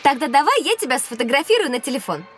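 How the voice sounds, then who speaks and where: A woman playing a cautious, sensible and somewhat bossy young schoolgirl in an educational children's audio drama, expressive and admonishing. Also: high voice, fast.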